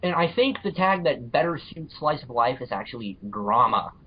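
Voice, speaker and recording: A man with a mid-pitched voice chats casually as a guest. He gives blunt opinions, often halting and repeating himself. The sound is dull and lo-fi. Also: expressive, husky. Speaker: podcast guest, fan fiction reviewer. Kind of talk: casual chat. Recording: dull lo-fi voice recording.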